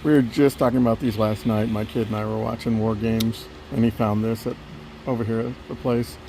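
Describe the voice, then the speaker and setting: A middle-aged man with a slightly raspy voice, casually talking to himself as he browses a thrift store and films it. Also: on the low side, a little fast, slight background noise, low-quality recording. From Las Vegas area. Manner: relaxed, muttering to himself while browsing. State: slightly raspy from dust and a cough. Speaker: thrift vlogger.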